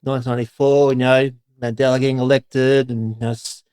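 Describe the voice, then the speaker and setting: A man's voice: mid-range voice, flat delivery, fairly quick, darkish tone, clear, resonant tone, no echo. A man hosting a podcast who introduces his guest in a chatty, rambling, enthusiastic way. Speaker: podcast host. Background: music.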